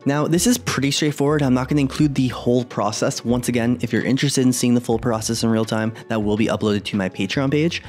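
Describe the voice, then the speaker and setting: A young adult man narrating a software tutorial in a relaxed, conversational way. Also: medium pitch, fast, hardly any pauses, soft background music. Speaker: 3D artist tutorial host.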